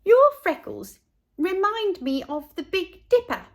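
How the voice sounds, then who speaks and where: A woman's voice: very high voice, sing-song, slow, pitched above the performer's own voice, exaggerated voice. A woman voicing an earnest, blunt and innocent little schoolboy in a storybook, speaking in a matter-of-fact way. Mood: blunt, cheerful.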